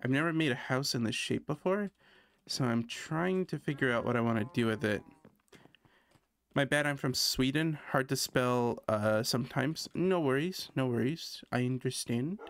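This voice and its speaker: A man's voice: mid-range voice, moderate pace, no room sound. A man from Canada chatting casually while streaming a building game, thinking aloud and poking fun at himself. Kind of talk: casual gameplay commentary.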